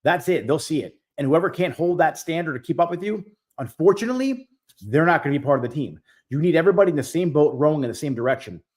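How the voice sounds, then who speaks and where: A man's voice: fairly high pitch, lively intonation, quick pace, darkish tone. A man in his forties hosting a live stream Q&A, giving business coaching in a direct, emphatic way that repeats key points. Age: 40s. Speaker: host.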